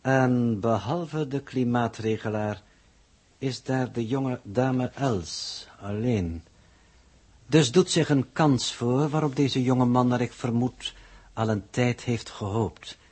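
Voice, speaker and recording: An actor playing a pompous, formal spaceflight coordinator who presents a broadcast in a 1960s Dutch radio drama. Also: male voice, medium pitch, slow pace, a little mellow, breathy edge. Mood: ceremonious.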